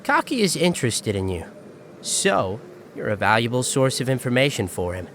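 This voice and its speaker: A man's voice: mid-range voice, big pitch swings, moderate pace, fairly bright tone, a little hiss or hum. A man playing a composed, coolly threatening young gang heir in a visual novel fan dub, speaking politely and in a businesslike way.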